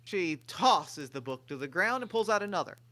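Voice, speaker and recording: A man's voice: high-pitched, sing-song, moderate pace, pushed and bright. A man reading scripted stage directions as the narrator of a table read.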